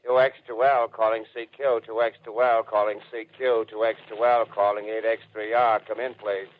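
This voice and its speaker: An actor playing an amateur radio operator repeating call signs in a 1930s radio drama. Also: male voice, lowish voice, moderate pace, close to an everyday voice. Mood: lonely, searching. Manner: repetitive, flat call-sign delivery. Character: male amateur radio operator calling for contact. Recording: tinny, band-limited old radio recording.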